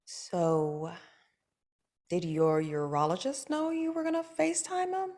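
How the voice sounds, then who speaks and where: A woman reading a dry, sardonic young writer in a comedic table read, with deadpan, teasing delivery. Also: lowish voice, unhurried.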